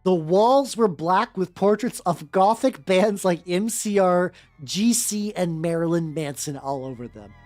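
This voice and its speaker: A woman's voice: on the low side, animated, a little slow, hardly any pauses, a little mellow. A woman streamer with a playful, joking manner, chatting casually and reading fanfiction aloud for comic effect. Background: background music.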